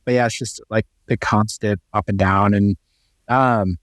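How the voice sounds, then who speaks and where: A man's voice: on the low side, fairly even intonation, unhurried, no room sound, clean studio sound. A man co-hosting a real estate podcast, chatting casually and upbeat with lots of fillers and restarts. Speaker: podcast co-host.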